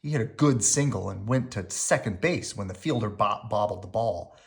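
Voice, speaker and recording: A reader narrating a children's picture book aloud in an expressive storytelling style. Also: mid-range voice, moderate pace, gravelly.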